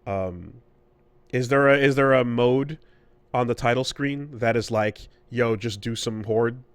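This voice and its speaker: A man with a mid-range voice hosts a podcast in a dry, close sound. He chats casually and haltingly, reworking his phrases as he thinks out loud. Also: mid-range voice, clean tone, no room sound. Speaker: podcast host. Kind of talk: casual conversational podcast chat.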